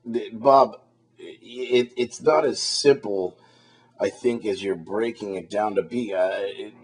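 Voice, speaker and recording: A man working as an interviewer, challenging his guest in a halting, repetitive way. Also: on the low side. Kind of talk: confrontational phone interview.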